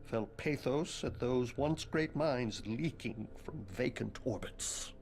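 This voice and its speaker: Game role: morbid, bookish professor, middle-register voice, stiffly formal and deadpan. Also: male voice, medium pitch, takes it slowly, a little hiss or hum. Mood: morbid, matter-of-fact. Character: pompous, morbid, bookish male occult professor, deadpan and eccentric. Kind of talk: video game cutscene acting.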